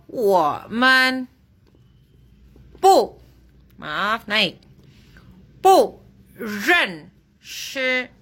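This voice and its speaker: A woman teaching a Mandarin lesson, reading example phrases slowly and explaining each one, now and then correcting herself and checking that it is clear. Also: fairly high pitch, bright, forceful tone. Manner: self-correcting, checks understanding with "jelas?". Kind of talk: slow language lesson, reading and translating example sentences.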